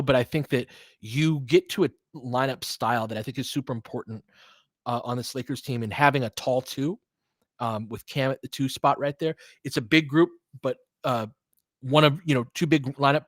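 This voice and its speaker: Man, medium-pitched voice, casual basketball commentary, conversational, opinionated, dry room-free sound. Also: dry recording. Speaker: play-by-play commentator and host. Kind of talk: casual live basketball commentary with fan chat.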